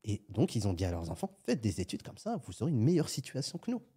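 A man's voice: lowish voice, quick pace, husky. A man, a guest entrepreneur on a podcast, talking casually and acting out little scenes as he tells stories. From France. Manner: animated storytelling, acts out little scenes.

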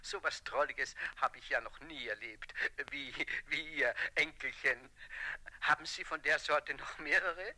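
An actor playing a brisk, easily amused professor talking on the telephone in a children's radio play. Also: male voice, a little high, bright, forceful tone, heavily acted, low-quality recording. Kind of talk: 1970s German children's radio play acting.

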